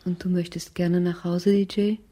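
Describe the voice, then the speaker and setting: Actress as a husky, menacing woman. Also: on the low side, little pitch movement, moderate pace, dark tone, natural voice. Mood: commanding. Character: cold, menacing woman threatening young people. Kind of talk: German radio drama acting.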